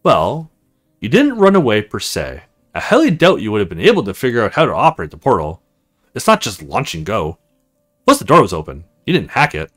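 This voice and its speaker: A man's voice: lowish voice, wildly swooping pitch, fairly quick, fairly bright tone, faint music behind, voice pushed down, heavily acted. A man voicing a stern, teasing female officer in a visual novel, matter-of-fact and commanding. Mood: matter-of-fact, bargaining. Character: stern, teasing military woman officer in charge.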